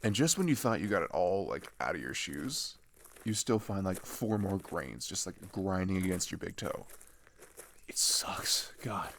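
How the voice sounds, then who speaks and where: A man playing a friendly, chatty teenage migrant boy in an ASMR roleplay, talking casually and haltingly with pauses and sighs. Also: low voice, sing-song, creaky, fairly bright tone, a little hiss or hum, heavily acted. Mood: wry disgust. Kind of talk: ASMR roleplay, one-sided conversational acting.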